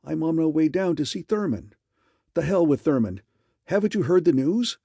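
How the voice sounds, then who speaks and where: A man's voice: fairly quick, creaky, no echo. A man reading an audiobook, voicing a frantic male colleague calling with urgent news. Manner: excited, breathless, high-pitched urgency.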